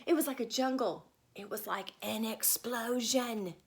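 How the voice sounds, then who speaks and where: A woman reading a picture book aloud to children, expressive and stopping to ask her listeners questions. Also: on the high side, measured pace. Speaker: storyteller.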